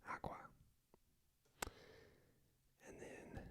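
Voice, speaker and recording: A man's voice: moderate pace, sharp tone, dry recording. A man whispering an informal, self-deprecating ASMR-style language lesson as the host, a native English speaker. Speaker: video host teaching Spanish basics.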